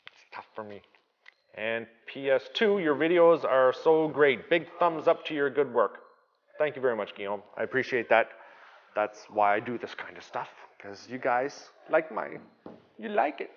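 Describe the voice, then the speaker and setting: A Canadian man chatting through viewer questions in a relaxed, easygoing tone at a middling pitch. His voice trails off into a long reverb, as if he's speaking in a big garage. He fills his pauses with casual ums and uhs. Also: lo-fi sound, slight background noise. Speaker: channel host answering viewer questions. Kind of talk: casual Q&A vlog.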